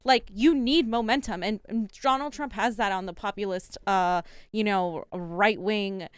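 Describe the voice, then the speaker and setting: Woman co-hosting a politics stream, from central florida, smooth clear voice, says like a lot, dry sound. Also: medium pitch, a little slow, dry recording. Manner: casual, lots of "like", blunt opinions. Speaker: co-host. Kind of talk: casual live political commentary.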